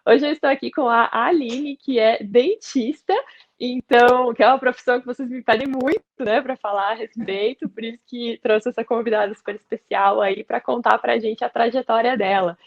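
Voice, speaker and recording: A woman's voice: fairly high pitch, steady tone, moderate pace, lo-fi sound. A woman from Brazil hosting a casual video interview, asking her guest questions in a friendly, chatty way. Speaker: interview host.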